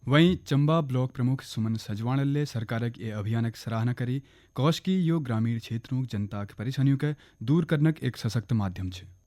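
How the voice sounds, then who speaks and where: A man's voice: mid-range voice, normal speed, dark tone. A man with a Kumaoni accent reading a scripted regional radio news bulletin.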